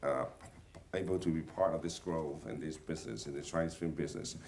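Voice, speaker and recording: A man speaking off the cuff as a film industry panelist, haltingly, with frequent stammers and repeated words. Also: very deep voice, darkish tone, distant mic. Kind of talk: unscripted panel remarks. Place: panel at a film market event.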